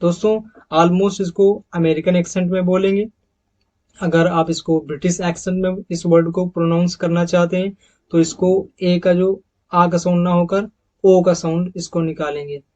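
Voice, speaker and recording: A man's voice: sits high, level tone, moderate pace, mellow. A man with an Indian accent teaching English phonetics over slides, repeating each model word several times and calling his viewers friends. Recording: dull lo-fi recording.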